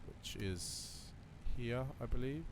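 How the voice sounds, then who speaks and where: A man with a slightly croaky voice, casually talking through a flight simulator session while thinking aloud with lots of fillers. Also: medium pitch, slow pace. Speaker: flight sim video creator. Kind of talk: relaxed running commentary while flying a simulator. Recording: voice over loud simulator cockpit noise.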